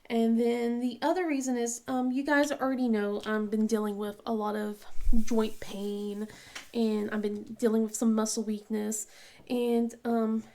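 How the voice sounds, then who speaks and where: A woman chatting casually as she works on a craft project, halting with many ums and restarts, sniffly from a cold. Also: fairly high pitch, a little mellow. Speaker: diamond painting vlogger. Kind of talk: casual chat while crafting.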